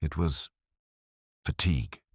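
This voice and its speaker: A man's voice: a bass voice, slow, slightly rough, no echo, clean studio sound, full character voice. A man voicing a composed, wistful storybook woman in a polite, reflective, understated way. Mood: composed, grateful. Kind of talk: storybook character dialogue.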